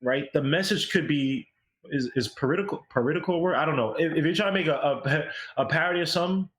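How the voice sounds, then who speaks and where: Higher-pitched man critiquing music casually. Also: quick pace, fairly bright tone, rough recording. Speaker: co-host of a music review show.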